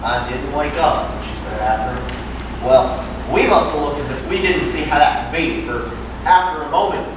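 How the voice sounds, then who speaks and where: A man's voice: fairly high pitch, close to an everyday voice. A young man on a school stage playing a plain-spoken farmer neighbor, telling his account in a folksy, hesitant way. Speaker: student actor. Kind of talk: amateur stage acting. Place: high school auditorium stage. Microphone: far camera in the audience. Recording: echoey hall, far off, noisy.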